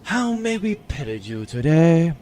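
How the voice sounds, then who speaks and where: A man's voice: fairly high pitch, very animated, unhurried, roomy sound, a crowd in the background. A man playing a polite, cheeky pirate ship answering service with formal receptionist patter in a comedy audio drama. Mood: polite, unhurried.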